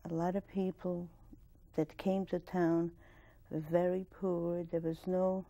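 A woman in her sixties from Poland with a Polish accent, recalling her past at a steady pace in a recorded oral history interview, with restarts and soft laughs, on a lapel mic in a studio. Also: on the low side. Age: 60s. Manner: measured, plain-spoken, with soft laughs and restarts. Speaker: interviewee, Holocaust survivor. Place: studio with dark curtain backdrop.

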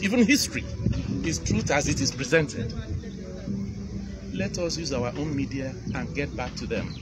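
A man's voice: a little high, rough recording. A middle-aged Nigerian man with a Nigerian English accent, a lecturer giving an impassioned outdoor address. He is emphatic and repeats phrases for stress, recorded on a phone from among the listeners. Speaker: university lecturer addressing a meeting. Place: outdoors under a tree. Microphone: phone camera from the audience.

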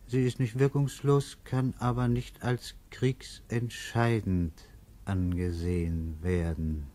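A man reading interludes as a radio play narrator, measured and grave. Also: on the low side, level tone, noisy background. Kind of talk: solemn scripted radio narration.

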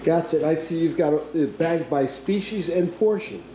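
Upbeat guy vlogging at a fishing lodge, kinda high voice, people chattering behind. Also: moderate pace, crowd noise. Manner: upbeat, joking interviewer. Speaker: fishing vlog host. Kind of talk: casual on-camera chat.

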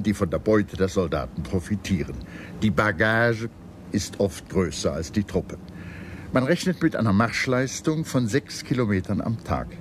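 A man reading scripted historical documentary narration in a measured, serious storytelling manner. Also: deep voice, a little hiss or hum.

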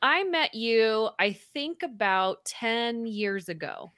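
A woman's voice: a little high, expressive, slow, a bit bright, dry recording. Upbeat woman host, dry headset sound. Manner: chatty, upbeat, laughs often. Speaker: podcast host. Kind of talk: casual interview chat. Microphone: headset on a video call.

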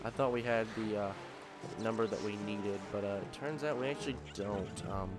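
Let's-play host, man, a bit nasal and low-pitched, rambling commentary over steady game music. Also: moderate pace. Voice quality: slightly nasal from allergies. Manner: rambling, thinking aloud with many ums. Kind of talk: casual gameplay commentary.

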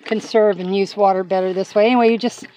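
A woman's voice: medium pitch, fairly even intonation, quick pace, dark tone, a little hiss or hum, poor audio. An older woman vlogging from inside her cargo van, chatting folksy and casual as she demonstrates something, often apologizing and asking for patience. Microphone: handheld phone camera.

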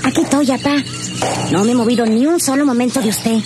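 A woman's voice: fairly high pitch, fast talker, over music, natural voice. A woman playing a sweet, devoted young ranch daughter with a rural Mexican accent in a radio serial. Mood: gentle, reassuring. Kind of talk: old Spanish-language radio serial acting. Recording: noisy old radio drama recording.

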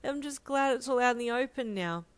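A woman's voice: fairly high pitch, expressive, fairly quick, smooth, voice pushed up, lightly acted. A woman voicing an emotional, flustered young woman in a romance story, given to breathless, blurted outbursts. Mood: tearful, relieved.

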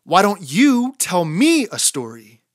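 Storybook rooster dad, played by a woman: weary but patient, measured pace, mild scolding. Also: fairly low pitch, very animated, no echo, studio quality. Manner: measured, gently chiding. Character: patient, tired father rooster, gently scolding.

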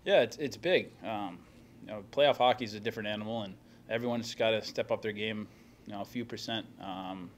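A man's voice: fairly low pitch, brisk, a little hiss or hum. A young man, a hockey player, answering reporters' questions at a postgame press conference into a table microphone, talking plainly with many fillers and restarts. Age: young adult. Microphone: table microphone in front of him.

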